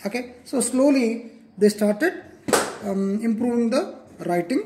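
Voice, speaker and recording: A teacher from Telangana, a native Telugu speaker with a Telugu Indian English accent, gives a classroom lecture, repeating points and checking in with okay and clear. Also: medium pitch, a little slow, noisy background. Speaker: school teacher. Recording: echoey room.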